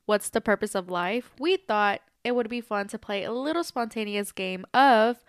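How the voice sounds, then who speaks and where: A young woman co-hosting a podcast, talking stuffy from allergies in an animated, casual, swearing way. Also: medium pitch, normal speed, clear, resonant tone, no room sound, studio quality. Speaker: podcast co-host. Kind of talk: casual chat.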